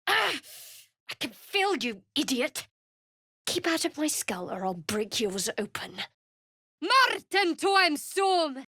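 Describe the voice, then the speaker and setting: A woman playing a guarded, sardonic young cleric of a dark goddess in a fantasy video game, speaking in a dry, measured, wry way. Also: high voice, big pitch swings, heavily acted. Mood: angry.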